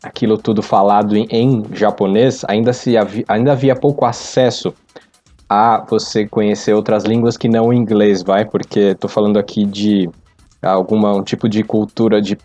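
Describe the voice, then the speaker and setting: A middle-aged Brazilian man thinking aloud in a casual, halting way on a podcast. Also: lowish voice, steady tone, dark tone, faint music behind. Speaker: podcast panelist. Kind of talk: casual reflective podcast discussion. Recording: slightly dull lo-fi audio.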